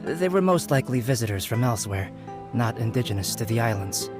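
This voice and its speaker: An actor playing a composed, erudite young scholar in a video game, measured, articulate and formal. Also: male voice, medium pitch, sing-song, music in the background, natural voice. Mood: explaining, thoughtful. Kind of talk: scripted video game voice acting.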